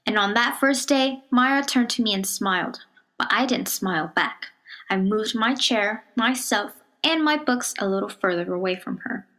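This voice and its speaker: A woman reads a children's picture book aloud in a measured, expressive storytelling manner, dropping to a hush on some phrases. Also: mid-range voice. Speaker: storybook reader.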